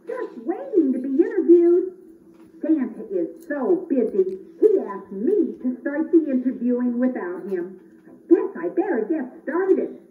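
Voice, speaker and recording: Very high, eager little elf played by a woman. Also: slight background noise. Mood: businesslike. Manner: earnest, exclamatory, drawn-out delivery. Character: eager, excitable young elf helper of Santa. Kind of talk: children's puppet show. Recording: roomy, distant, muffled phone-like audio.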